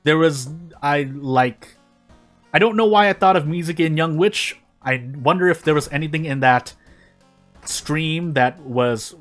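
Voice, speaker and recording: A man's voice: a little high, a little slow, fairly bright tone, soft background music. A man doing casual gaming video narration and commentary in a rambling, self-deprecating way. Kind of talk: casual gameplay commentary and fanfic reading.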